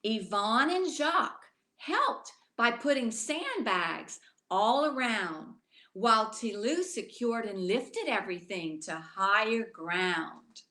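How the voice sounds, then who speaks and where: Woman storyteller for children's picture book: slow, expressive delivery, talks straight to kids, roomy sound. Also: a little high. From Louisiana. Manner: expressive, addresses young listeners directly. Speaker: children's author reading aloud. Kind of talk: bedtime story read aloud to children.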